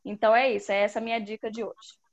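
A woman co-hosting a podcast, recommending a book in a lively, enthusiastic way. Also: a little high, very fast, lo-fi sound. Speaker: podcast co-host.

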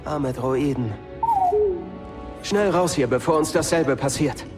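A man's voice: on the high side, slow pace, music in the background. A young man acting in an audio drama as a plucky fugitive warrior, wry and defiant. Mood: relieved, friendly.

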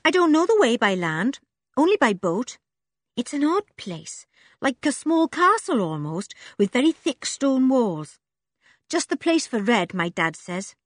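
A woman's voice: on the high side, sing-song, unhurried, dry recording, clean studio sound, pitched above the performer's own voice. A woman voicing a scruffy, tough young girl who talks bluntly and warily.

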